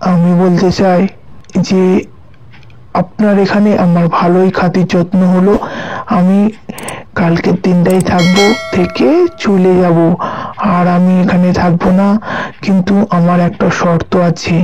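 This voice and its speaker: A woman's voice: on the low side, little pitch movement, unhurried, pauses often, a little mellow, muffled recording, close to an everyday voice. A woman playing a commanding, vengeful demon fairy in a fairy-tale cartoon. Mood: imperious, warning. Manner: commanding, menacing. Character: imperious, vengeful demon fairy who lays curses.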